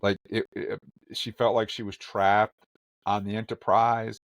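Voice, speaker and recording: Low-voiced man, animated and joking. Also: a little slow, gravelly, no room sound, clean studio sound. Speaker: podcast co-host. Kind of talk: casual review chat.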